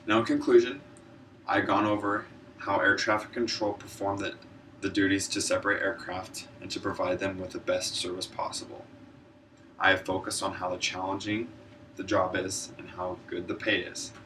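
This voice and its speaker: Male speaker, deep voice, informative presentation, earnest, occasional stumbles, distant mic, light background noise. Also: little pitch movement, normal speed, fairly bright tone. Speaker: student public speaker, military air traffic controller. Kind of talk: informative speech, prepared presentation. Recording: distant mic, noisy room ambience.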